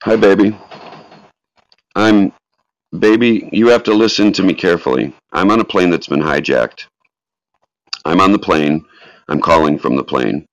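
A man voicing an anguished woman in danger, pleading and halting, in a dramatic reading of last phone calls. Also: low voice, lively intonation, no room sound, dull audio, voice pushed up. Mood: tearful, loving.

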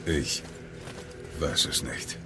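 A man playing a stoic Spartan warrior father in a game-based audio drama, speaking gravely in terse, measured phrases. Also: background noise. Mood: grave, curt. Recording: music behind the voice.

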